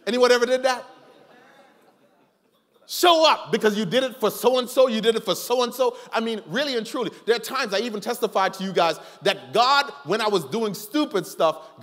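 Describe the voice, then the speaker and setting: A middle-aged man preaching a sermon as a pastor, telling personal stories with animated swings between loud pleading and quiet reflection. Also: very high voice. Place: large church sanctuary, preaching from the stage. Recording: long hall reverb with faint music.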